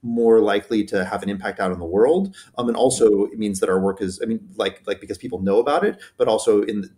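A research group member talking casually in an online Q&A, rambling with many restarts. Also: male voice, on the low side, fast, warm tone.

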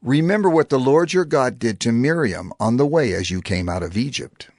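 An actor playing a solemn prophet giving law to his people in dramatized scripture, declamatory, deliberate and authoritative. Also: medium pitch, lively intonation, a little mellow, no room sound, clean studio sound. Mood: solemn, commanding. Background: background music.

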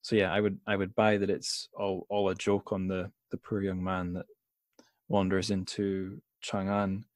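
Lowish-voiced man thinking aloud. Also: monotone, a little slow, no echo. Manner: rambling, tentative, thinking aloud. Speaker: podcast host. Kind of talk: casual literary discussion.

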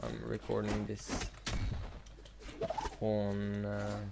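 A young man with a Swedish accent rambles along over his gameplay, slow and low-pitched, with a gravelly, flat delivery full of fillers. Loud noise and clicking sit under his voice. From Sweden. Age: teens. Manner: rambling, many fillers and pauses. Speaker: gaming YouTuber. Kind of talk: casual commentary while playing.

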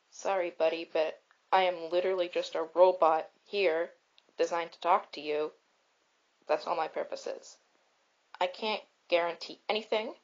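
Girl doing a caring but blunt robot for a comfort roleplay, breathy rough voice, grand repetitive speeches, kinda muffled homemade audio. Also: lowish voice. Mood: blunt, dry. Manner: deliberate, declamatory, repetitive. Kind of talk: comfort roleplay monologue with storytelling. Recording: slightly dull, raw home recording.

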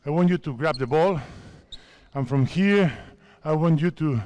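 A basketball coach from Spain in his fifties, a native Spanish speaker, talks players through drills in a big indoor sports hall. His voice sits a little high, and he speaks slowly and deliberately in short, instructive commands. Basketballs bounce in the background. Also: darkish tone. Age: 50s. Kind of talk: coaching clinic on court.

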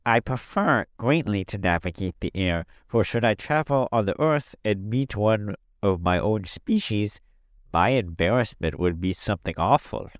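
Guy doing a squeaky big winged creature, stiff and wordy, swoops all over, dead dry sound. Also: lively intonation, normal speed, smooth, no echo, natural voice. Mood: fussy. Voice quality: squeaky, high. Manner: formal, wordy, mournful. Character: squeaky-voiced huge winged male creature, pompous.

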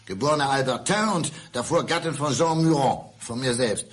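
A man's voice: medium pitch, normal speed, a little hiss or hum, lightly acted. An actor playing a bitter, brooding blind divorced man who needles his ex-wife in a radio crime drama. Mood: insistent. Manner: brooding, sardonic, insistent. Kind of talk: 1990s German radio crime drama acting.

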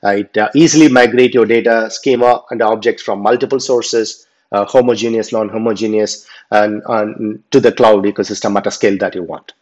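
Low-voiced man lecturing over slides. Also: fairly even intonation, fairly quick, darkish tone. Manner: unscripted, many fillers and restarts. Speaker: instructor. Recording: dull lo-fi voice recording.

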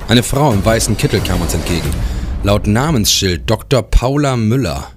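A man's voice: lowish voice, lively intonation, moderate pace, soft background music, natural voice. An actor playing a sober police detective who narrates his case in a clipped, matter-of-fact way for a German crime radio play. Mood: matter-of-fact, suspenseful.

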